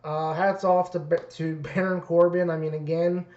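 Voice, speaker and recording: A man reviewing wrestling in a casual, rambling way, his voice raspy and worn. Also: fairly high pitch, flat delivery, normal speed, darkish tone. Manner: rambling, many fillers and restarts. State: raspy voice from two nights of events. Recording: dull roomy lo-fi audio.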